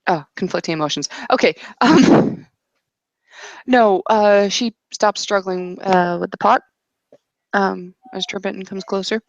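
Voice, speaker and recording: A woman's voice: mid-range voice, measured pace, no echo, lo-fi sound. A woman casually narrating an improvised tabletop roleplay as game master, hesitant and thinking aloud as she goes.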